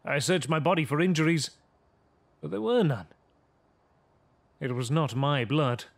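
A man's voice: a little high, lively intonation, unhurried, gravelly, exaggerated voice. A man playing a frightened young man who recounts his horror in theatrical, gothic first-person narration. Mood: grave, haunted. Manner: grave, theatrical storytelling.

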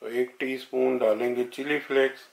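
A man's voice: mid-range voice, little pitch movement, normal speed, vocal fry. A middle-aged man, explaining a recipe step by step in a casual, friendly way in his home kitchen. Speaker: home cook. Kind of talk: casual cooking vlog. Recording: echoey kitchen.

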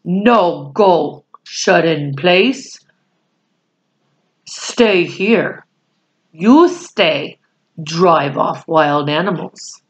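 A woman's voice: fairly low pitch, animated, very slow, pauses often. A woman voicing a tiny, proud, blunt warrior man from a storybook in grave, clipped phrases. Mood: stubborn.

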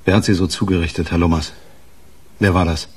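An actor playing a weary, brusque police detective chief in a naturalistic radio crime drama. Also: male voice, sits low, steady tone, brisk, husky. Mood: businesslike. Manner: curt, probing, businesslike. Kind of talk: naturalistic German radio play acting.

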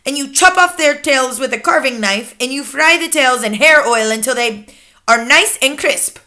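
A woman's voice: high-pitched, expressive, moderate pace, bright, forceful tone, voice pushed up. A woman voicing the imperious, scornful leader of a coven of witches, in a commanding, declamatory manner.

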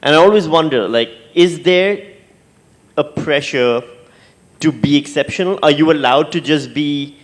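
A man moderating a panel on stage, conversational and halting, repeating words as he thinks aloud into a handheld microphone. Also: fairly high pitch. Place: stage in an auditorium with audience.

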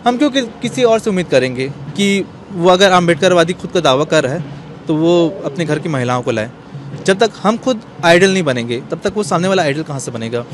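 A man's voice: a little high, animated, a little fast. A man in his thirties answering earnestly as an interview guest, outdoors into a handheld microphone. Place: outdoor bench in an open courtyard. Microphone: handheld microphone held out by interviewer. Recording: faint outdoor urban noise.

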